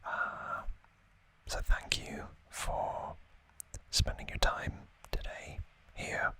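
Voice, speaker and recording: Male ASMR host, fully whispered delivery with a crisp bright edge; informal, hesitant, modest and rambling. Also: drawn-out words, no echo. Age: late 20s or older. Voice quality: breathy, unvoiced whisper. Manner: rambling, hesitant, self-deprecating. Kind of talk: whispered ASMR unboxing chat.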